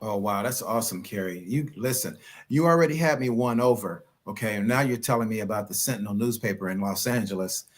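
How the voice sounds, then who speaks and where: A man's voice: lowish voice, brisk. A man hosting a podcast interview, asking questions and responding enthusiastically. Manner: enthusiastic, affirming. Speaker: podcast host.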